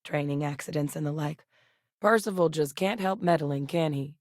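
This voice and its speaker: Actress for a low-voiced, no-nonsense scientist-officer in a game, matter-of-fact, dry studio read. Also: female voice, dry recording, lightly acted. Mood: steady. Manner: measured, clipped, matter-of-fact. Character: composed woman scientist with military past. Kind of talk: video game voice lines.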